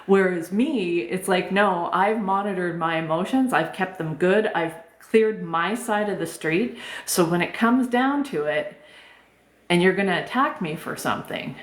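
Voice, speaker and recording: This is a soft-voiced woman coach who talks gently and casually, with frequent "um"s and the odd swear word. Her voice sits a little low for a woman. She is recorded with a noticeable roomy echo. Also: a little slow. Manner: gentle, informal, many fillers, occasional swearing. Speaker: life coach. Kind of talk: live-streamed talk answering chat questions.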